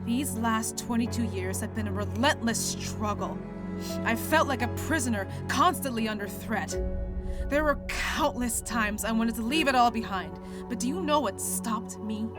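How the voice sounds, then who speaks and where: A woman's voice: fairly high pitch, normal speed, lightly acted. An actress playing a battle-hardened woman general in an audio drama, intense and clipped, over music. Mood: impassioned. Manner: intense, clipped, swears when angry. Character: battle-hardened female general, fiercely protective.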